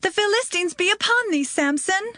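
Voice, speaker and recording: An actress playing a seductive, insistent temptress in a Bible audio drama, pleading in archaic language. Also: female voice, extremely high pitch, animated, moderate pace, sharp tone, no echo, studio quality, heavily acted. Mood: urgent alarm.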